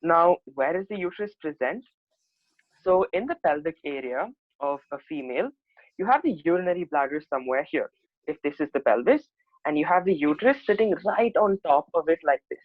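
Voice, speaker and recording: A man's voice: fairly high pitch, expressive, brisk, no echo. A man giving an explanatory lecture as a presenter, heard over an online call. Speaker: presenter teaching anatomy. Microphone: online video call mic. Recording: thin phone-like online call audio.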